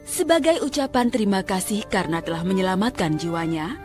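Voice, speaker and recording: A woman's voice: medium pitch, a little fast, darkish tone. A young woman narrating a scripted children's folk tale as storyteller. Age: young adult. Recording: music under the narration.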